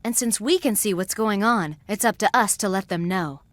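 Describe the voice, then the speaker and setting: A woman's voice: mid-range voice, normal speed, no echo, close to an everyday voice. A young woman acting a spirited, straight-talking goatherd in a video game cutscene. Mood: grave, warning.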